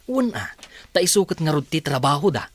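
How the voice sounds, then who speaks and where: An actor playing an easygoing adult son in a family business in a radio drama, with an Ilocano Filipino accent. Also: male voice, a little high, very animated, a little fast, breathy edge. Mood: questioning.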